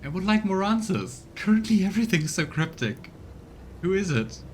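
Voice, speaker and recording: A man's voice: high voice, big pitch swings, moderate pace, slight background noise. A man giving casual let's play commentary as a game streamer. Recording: music behind the voice. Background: game music.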